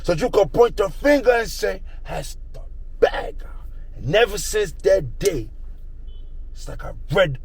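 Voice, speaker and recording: A man's voice: high-pitched, very animated, a little slow, gravelly, a little mellow, breathy edge. A young man telling a rambling street story in slang, circling back to a repeated catchphrase.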